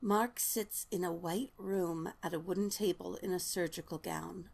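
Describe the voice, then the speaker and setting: A woman's voice: lowish voice, a little slow, creaky, a little mellow. A woman narrating scripted stage directions for a drama.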